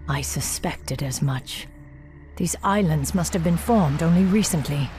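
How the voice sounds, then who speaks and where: A woman's voice: low-pitched, measured pace, a little mellow, faint music behind. A woman voicing a stern, relentless night elf warden, grave and commanding, in a fantasy game. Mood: grave, pondering. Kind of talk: dramatic fantasy game acting.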